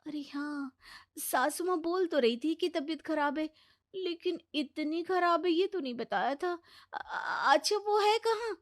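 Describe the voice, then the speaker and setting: Lady doing an eager-to-please young bahu for a cartoon, very high voice, gushy and dramatic, kinda clueless. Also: lively intonation, measured pace. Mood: flustered. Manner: gushing, exclaiming, theatrical. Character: eager-to-please young daughter-in-law, bubbly, oblivious, boastful. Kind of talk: Hindi moral-story cartoon acting.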